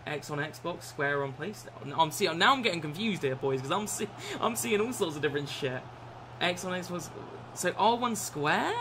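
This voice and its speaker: A young man with a croaky, bright, forceful voice, talking over his gameplay and answering chat. His pitch sits a touch high, and a faint hum of room ambience lies under him. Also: expressive, moderate pace. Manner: swears often, shouts and laughs at the game. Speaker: gaming streamer. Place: small room indoors.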